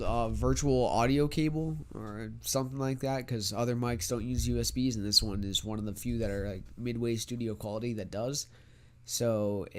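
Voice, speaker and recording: Young male gaming vlogger, mid-pitched, nasal from a cold, loose and rambling delivery. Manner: rambling, many fillers and restarts. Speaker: gaming channel host. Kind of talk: casual vlog chat. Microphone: USB desk mic with pop filter.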